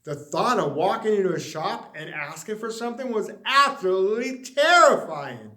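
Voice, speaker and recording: A man reading a children's story expressively, with dramatic, drawn-out emphasis on words. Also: high voice, big pitch swings, sharp tone, roomy sound. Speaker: story reader.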